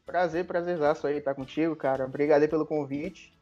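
A man from Salvador, Brazil, talking as a guest on a podcast in a relaxed, hesitant way, often restarting his sentences. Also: a little high, fast talker, highs cut off, faint music behind. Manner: hesitant, many restarts and fillers. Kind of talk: casual chat.

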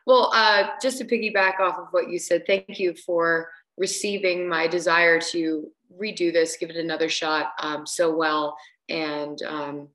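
Bright-voiced woman guest, roomy sound. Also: lowish voice, fairly even intonation, measured pace. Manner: hesitant, many fillers and restarts. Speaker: guest, singer. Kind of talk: casual interview chat.

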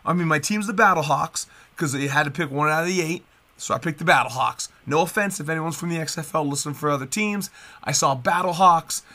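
30s guy hosting his podcast, nasal and stuffed up, bright and a bit high. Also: fairly quick. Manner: upbeat, chatty, rambling with restarts. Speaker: podcast host. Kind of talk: casual solo podcast chat.

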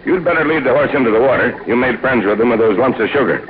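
A tinny, noisy vintage radio recording. A man plays a steady expedition leader with a mid-pitched voice, giving measured, reassuring instructions in adventure serial style. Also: natural voice. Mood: calm, instructive. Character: Uncle Jim, steady, commanding adult male expedition leader. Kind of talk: old-time radio serial acting.

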